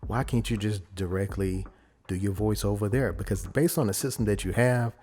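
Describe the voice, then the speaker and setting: A man presenting a casual software tutorial, explaining informally and thinking aloud as he goes. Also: low voice, slight background noise. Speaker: audio editing tutorial presenter.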